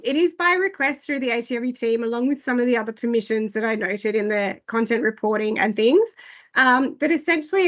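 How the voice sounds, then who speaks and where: A woman with a fairly high, slightly hoarse voice walks listeners through new platform features. She talks in loose, hesitant runs, leaning on "I guess" and "um". The sound is dull and lo-fi, as over a video call. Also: fairly bright tone. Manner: filler-heavy, frequent "I guess" and "um". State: losing her voice. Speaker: product presenter. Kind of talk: online product briefing.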